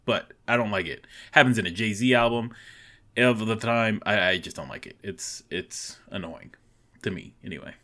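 A man's voice: lowish voice, normal speed, pushed and bright. A man co-hosting a music podcast, blunt and opinionated, swearing often. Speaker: podcast co-host. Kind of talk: casual music podcast chat.

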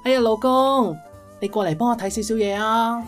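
A woman's voice: fairly high pitch, moderate pace, soft background music, lightly acted. Woman playing a coy, bossy Hong Kong wife. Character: coy, breezily domineering spendthrift wife. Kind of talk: comedic Cantonese radio drama.

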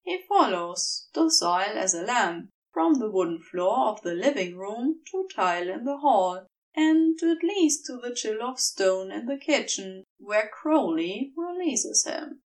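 Female audiobook narrator, lightly distant mic. Unhurried, expressive, with very animated intonation. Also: a little high. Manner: measured, expressive storytelling. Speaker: fan fiction audiobook reader. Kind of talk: scripted story narration.

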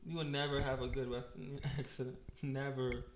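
A man's voice: medium pitch, level tone, normal speed, background noise. A young man from the United States co-hosting a casual chat, blunt and teasing in a laid-back way.

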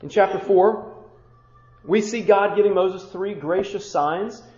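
A man's voice: high voice, measured pace, a little mellow. A man preaching a sermon as pastor, building rhythmic rhetorical lists with casual conversational asides. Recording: muffled roomy church recording.

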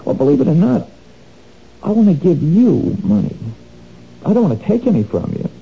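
A gravelly, sly blackmailer. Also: male voice, high voice, huge pitch swings, moderate pace, exaggerated voice. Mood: menacing. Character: smooth menacing male blackmailer, sly crook. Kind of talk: 1970s radio drama acting. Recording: dull lo-fi old radio recording, faint noise.

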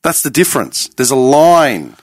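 A man's voice: medium pitch, normal speed, dry recording, clean studio sound. A man, talking casually and emphatically with a lot of swearing. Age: late 30s. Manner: animated, profane, emphatic. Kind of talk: casual podcast chat.

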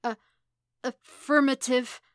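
A woman voicing a distressed, earnest young man in a story, halting, choked and pleading. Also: on the high side, fairly even intonation, no echo, studio quality, pitched above the performer's own voice. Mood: choked, defiant.

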